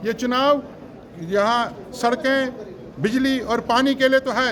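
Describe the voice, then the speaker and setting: High-pitched man giving an emphatic political speech. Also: fairly bright tone. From Jammu and Kashmir, India. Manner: earnest, emphatic, rhetorical repetition. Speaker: election candidate. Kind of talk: political campaign speech in formal Urdu-heavy register.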